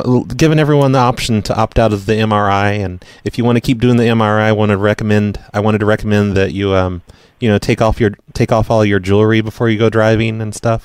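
A man making a casual prank phone call in a deadpan, hesitant manner, rambling and repeating himself as he goes. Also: fairly low pitch, clean tone, dry recording. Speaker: prank caller, show host.

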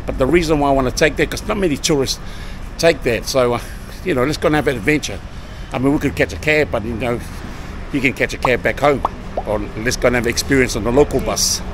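A man's voice: mid-range voice, quick pace, noisy background. A man in his forties chatting casually as a travel vlogger, upbeat and friendly, filming himself with a handheld camera outdoors. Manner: upbeat, chatty, calls people brother. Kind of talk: casual travel vlog chat. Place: busy city street and convenience store. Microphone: action camera held at arm's length.

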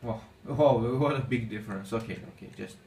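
A man whose first language is Armenian, giving casual commentary on his gameplay in halting English with many fillers. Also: mid-range voice, creaky, warm tone. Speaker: gaming channel host. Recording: echoey room, distant mic, faint noise.

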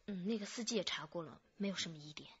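An actress playing a shrewd, businesslike female detective who reasons deliberately in a radio drama. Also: fairly low pitch, a bit bright, slightly rough, dull audio. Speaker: actor in a police radio drama. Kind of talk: Chinese radio drama acting.

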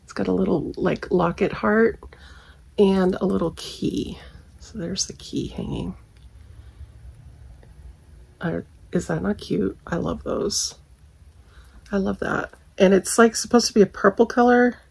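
A husky woman in her forties who is losing her voice, with a gravelly catch under her words. She talks slowly and candidly, sprinkling in ums and small laughs as she reviews her purchases. Recorded at home in a bedroom on a camera set at desk distance. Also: medium pitch, steady tone, darkish tone. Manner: chatty, candid, frequent ums and little laughs. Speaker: haul vlogger. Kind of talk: casual product review chat.

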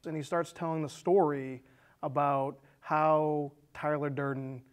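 A man's voice: a little high, steady tone, unhurried, smooth. A man in his thirties with an American accent, chatting casually as a studio guest. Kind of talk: casual chat. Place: small indoor studio set with curtains. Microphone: lapel.

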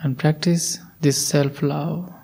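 Male monk, meditation leader; unhurried, warm and soft, calm guiding delivery. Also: on the high side, creaky, husky. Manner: soft, calm instructions with long pauses. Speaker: Buddhist monk leading meditation. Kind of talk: guided meditation.

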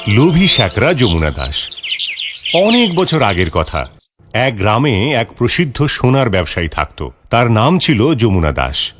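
Guy narrating a kids cartoon story, very animated mid voice, bit of music under it. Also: medium pitch, unhurried. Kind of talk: scripted children's storytelling. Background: background music.

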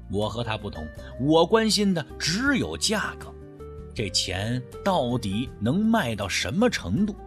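A man narrating a scripted mystery audiobook in the first person, with dramatic, expressive storytelling. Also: a little high.

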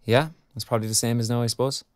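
An actor playing a frank, sardonic young Irish graduate in an audio drama, speaking colloquially. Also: male voice, medium pitch, little pitch movement, rapid-fire, dry recording, clean studio sound, lightly acted. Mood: reflective, frank. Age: young adult. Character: young underemployed arts graduate, frank and wry. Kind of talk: naturalistic audio drama dialogue.

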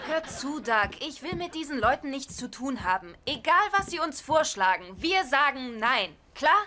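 An actress playing a sharp, exasperated wife in a German sitcom dub, dry and bossy, over loud noisy TV audio. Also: female voice, high voice, big pitch swings, moderate pace. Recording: loud noisy dubbed TV audio with laugh track.

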